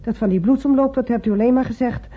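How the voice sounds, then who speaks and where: A woman's voice: on the high side, fast, breathy edge. An actress playing an anxious, insistent female patient in a radio drama, speaking earnestly. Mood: uneasy, insistent. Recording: muffled old radio recording.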